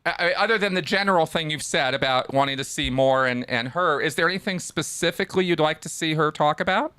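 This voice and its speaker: A man's voice: a little high, brisk, clean tone. A man hosting a live call-in podcast, chatting casually and rambling with restarts. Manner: relaxed, rambling, many restarts. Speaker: podcast host.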